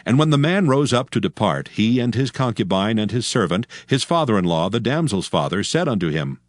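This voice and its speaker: A man's voice: fairly low pitch, sing-song, fairly quick, dry recording. A man narrating dramatized scripture in archaic English with a solemn storytelling manner.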